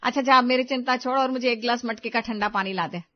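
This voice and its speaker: A woman voicing a wry, exasperated but affectionate older mother-in-law in an animated family story, teasing and grumbling. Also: a little high, quick pace, dull audio, lightly acted. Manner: teasing, dry-witted grumbling.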